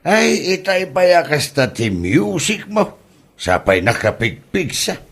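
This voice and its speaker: A man's voice: fairly high pitch, big pitch swings, slow, creaky, exaggerated voice. A man playing an exasperated middle-aged father who scolds his grown daughter in a comedy audio drama. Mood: scolding.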